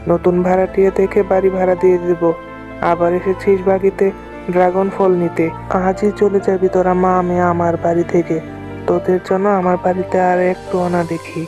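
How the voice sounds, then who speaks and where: Cartoon tigress landlady, stern, scolding, monotone, music behind, acted out by a voice performer. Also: mid-range voice, fairly quick, lightly acted. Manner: scolding, dismissive. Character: stern tigress landlady and shopkeeper, harsh, unyielding. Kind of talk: children's cartoon story dialogue.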